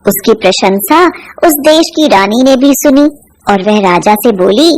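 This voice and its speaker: A woman's voice: medium pitch, lively intonation, measured pace, a little mellow, a little hiss or hum. A woman narrating a scripted cartoon folk tale as a storyteller.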